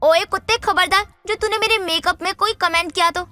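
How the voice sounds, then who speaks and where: A woman's voice: very high-pitched, steady tone, fairly quick, bright, forceful tone, no room sound, soft background music. A woman playing a vain, touchy young college girl in a cartoon story, slangy and defensive in an exaggerated way. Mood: indignant. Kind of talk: Hindi animated moral story dialogue.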